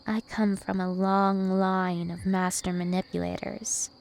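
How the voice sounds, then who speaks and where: Slow, seductive vampire princess, acted by a woman. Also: mid-range voice, level tone, creaky, a little mellow. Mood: smug, teasing. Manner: slow, deliberate, teasing and possessive. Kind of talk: ASMR roleplay.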